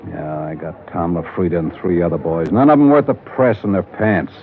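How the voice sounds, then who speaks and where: A hard-bitten small-town American police lieutenant, weary and bossy, in a lowish voice. He talks in blunt slang in the manner of golden-age radio crime drama, on a tinny, narrow old recording. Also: moderate pace. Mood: weary, confiding. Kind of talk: 1940s-50s radio crime drama acting.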